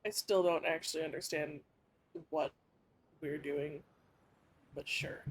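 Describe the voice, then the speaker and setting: A man's voice: on the high side, takes it slowly, creaky. A man giving casual, offhand livestream commentary on a game, with puzzled asides. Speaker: game streamer. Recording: faint game music behind.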